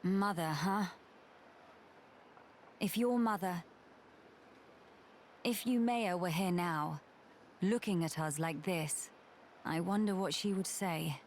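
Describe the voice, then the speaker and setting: Slow-spoken, earnest young noblewoman. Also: fairly low pitch, slight background noise. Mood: reflective. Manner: measured, formal, courtly phrasing. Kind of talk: dramatic video game acting.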